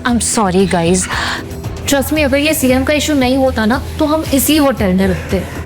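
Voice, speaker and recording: A woman playing an entitled, sharp-tongued young rich wife in an audio drama, demanding and haughty. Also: high voice, lively intonation, slow pace, music in the background. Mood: embarrassed coaxing. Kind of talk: Hindi audio drama acting.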